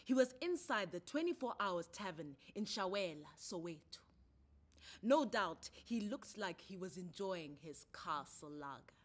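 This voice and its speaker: A woman with a South African accent reading a short story in an expressive, theatrical way. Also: on the low side, a little slow. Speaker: story reader. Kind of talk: dramatic short story narration.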